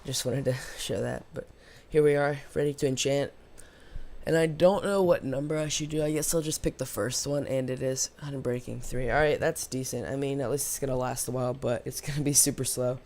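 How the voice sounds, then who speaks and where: A man with a slightly hoarse voice giving casual, rambling, unscripted let's play commentary as host of a gaming video. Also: medium pitch, fairly even intonation, a little fast, a little mellow. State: slightly hoarse after losing voice from yelling.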